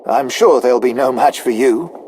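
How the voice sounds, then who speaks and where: An actor playing a deferential, anxious non-human military officer in a video game, formal and precise. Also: male voice, mid-range voice, normal speed, warm tone, slight background noise, natural voice. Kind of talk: scripted video game dialogue.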